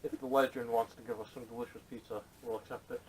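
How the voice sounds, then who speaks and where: A man gives casual, blunt takes as a podcast panelist. His pitch sits in the middle and his phrasing is contrarian. The sound comes from a camera microphone, with a faint layer of noise. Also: steady tone, fast, a little mellow. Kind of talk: casual opinionated chat. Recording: distant camera audio, noisy.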